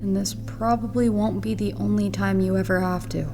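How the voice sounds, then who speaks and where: A woman playing a meek but probing captive in her twenties in an audio drama, soft-spoken and careful, with a creaky voice. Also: mid-range voice, fairly even intonation, moderate pace, over music, natural voice. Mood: calm, probing. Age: 20s. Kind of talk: audio fiction acting.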